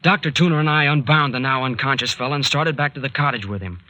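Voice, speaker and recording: An actor playing an impulsive, devoted young American hero, urgent and emotive, in an old-time radio serial. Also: male voice, medium pitch, fast talker, lo-fi sound, lightly acted. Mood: grave storytelling. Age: young adult. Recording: noisy old radio recording.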